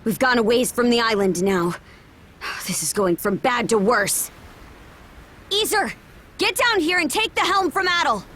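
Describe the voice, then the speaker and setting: Game role: commanding young female seafarer, bright-spirited swings in a high voice. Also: expressive, a little slow, long pauses, a little hiss or hum. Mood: urgent, commanding. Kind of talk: scripted video game voice acting.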